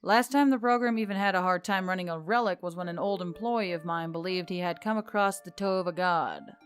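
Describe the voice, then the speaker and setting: A woman voicing a wry, weary male boss of a magical tech office in an audiobook story, speaking in a measured, murmuring way. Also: fairly low pitch, faint music behind, close to an everyday voice. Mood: matter-of-fact. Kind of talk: audiobook character dialogue.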